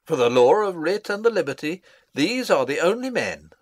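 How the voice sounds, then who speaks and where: A pompous old counsellor in a Shakespearean drama. His pitch swings wildly from a highish base as he declaims in a fussy, long-winded manner. The sound is close and dry. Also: very animated, normal speed, dry recording. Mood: grandly declaiming.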